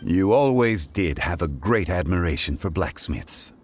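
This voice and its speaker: An actor playing a calm, composed former god who speaks formally and with dignity, over game music. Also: male voice, low voice, a little slow, a little hiss or hum. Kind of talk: video game voice acting. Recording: game soundtrack music behind. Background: orchestral game music.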